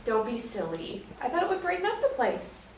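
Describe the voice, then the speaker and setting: A woman's voice: a little high, big pitch swings, a little fast. A woman playing a breezy, well-meaning but easily put-out visitor in a live stage play reading. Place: echoey indoor stage or hall. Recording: echoey room, distant mic, faint noise.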